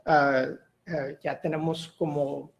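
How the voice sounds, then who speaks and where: A middle-aged man from Mexico, giving a tech talk on a video call, relaxed and full of "eh" fillers. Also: fairly high pitch, slow pace, gravelly, muffled recording. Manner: relaxed, with many "eh" and "ah" fillers and restarts. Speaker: guest speaker, solution architect. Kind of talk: online tech meetup presentation.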